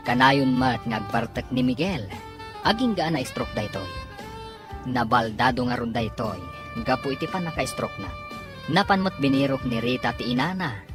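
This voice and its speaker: A woman announces a radio serial in dramatic scripted narration. Her voice is extremely low and swings widely in pitch. Music plays clearly behind her.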